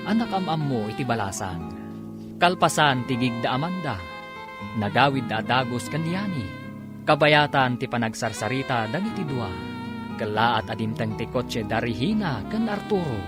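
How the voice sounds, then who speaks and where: A man narrates a radio serial in a dramatic announcer's style. His mid-range voice moves expressively up and down, with music playing clearly behind him. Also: mid-range voice. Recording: music bed under the narration. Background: prominent theme music.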